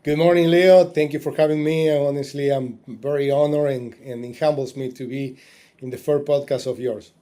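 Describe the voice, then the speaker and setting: Man in his forties, warm dark tone, halting interview guest talk. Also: medium pitch, fairly even intonation. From Argentina. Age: 40s. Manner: halting, with many fillers and restarts. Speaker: podcast guest.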